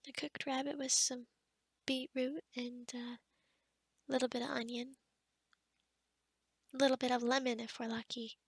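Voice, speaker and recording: Woman acting as gentle young forest witch, very breathy whispery voice, close and dry, hesitant, socially awkward, ASMR roleplay. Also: high-pitched, little pitch movement, dry recording, heavily acted. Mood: warm, content. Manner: gentle, hesitant, with small stammers and pauses. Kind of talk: soft-spoken ASMR roleplay, half whispered.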